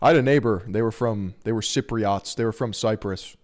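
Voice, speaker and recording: A man's voice: on the low side, a little fast, a little mellow. A man from the United States giving casual reaction commentary as a streamer, slangy and joking, swearing freely.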